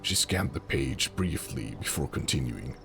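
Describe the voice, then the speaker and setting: A man narrating a fantasy audio drama in a slow, deliberate, brooding way. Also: a bass voice, lively intonation, vocal fry, a bit bright. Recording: music under the narration. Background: music.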